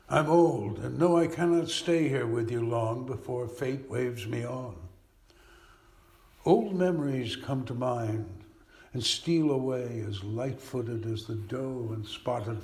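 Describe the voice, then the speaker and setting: A man with a gravelly, creaking voice recites poetry. He takes it very slowly and gravely, with a deliberate, dramatic delivery. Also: mid-range voice. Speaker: actor reading poetry.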